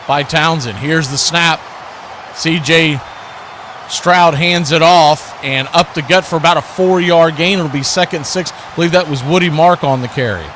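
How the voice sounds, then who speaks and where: A man hosting live football play-by-play, calling the action excitedly as it happens. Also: on the high side, a little hiss or hum. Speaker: play-by-play host.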